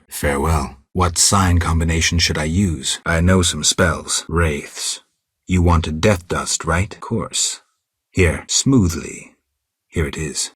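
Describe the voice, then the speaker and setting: A stoic monster hunter from a fantasy game, with an extremely low, raspy voice. He speaks in terse, guarded, deadpan phrases, gruff and dry, recorded close and without any room sound. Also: no room sound. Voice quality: gravelly, husky. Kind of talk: fantasy video game voice acting.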